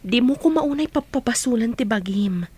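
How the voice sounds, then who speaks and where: A woman's voice: fairly high pitch, animated, moderate pace, mellow, breathy edge, dry recording. A woman playing a concerned, supportive friend in an Ilocano radio drama.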